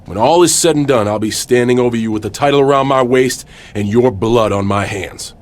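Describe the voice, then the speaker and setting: A man's voice: medium pitch, fairly quick, a little hiss or hum, lightly acted. An actor playing a blunt, earnest, defiant male pro wrestler hero in a video game cutscene.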